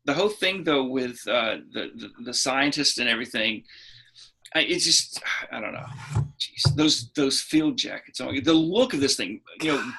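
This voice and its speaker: Man, podcast co-host, bright forceful tone, husky edge, casual film talk, halting explanations. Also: mid-range voice. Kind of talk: casual film discussion among friends.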